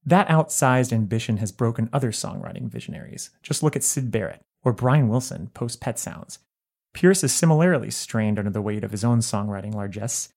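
A man narrating a written album review in a measured, articulate way. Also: mid-range voice, expressive, a little mellow. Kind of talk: scripted narration.

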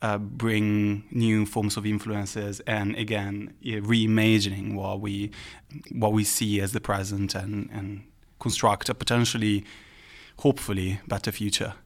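Male podcast guest, lowish pitch, thoughtful and searching delivery. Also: little pitch movement, measured pace. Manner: hesitant, searching for words. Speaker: art curator, podcast guest. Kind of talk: reflective interview talk.

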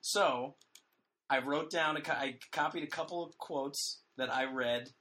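A man with a hoarse voice, co-hosting a casual comedy podcast with wry, deadpan jokes. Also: on the high side, measured pace. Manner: wry, deadpan jokey delivery with frequent restarts. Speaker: podcast co-host.

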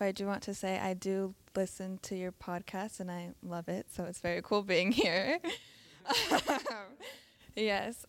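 A young woman who stutters, sharing her experience at a live talk with frequent fillers and hesitations. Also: medium pitch. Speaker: audience member sharing her story.

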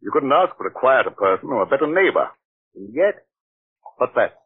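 Fields: Figure: a fretful old vicar. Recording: thin vintage radio. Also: male voice, mid-range voice, very animated, brisk, slightly rough, dry recording, narrow, tinny audio. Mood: worried. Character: worried elderly village vicar, gentle and troubled. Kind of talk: 1940s radio acting.

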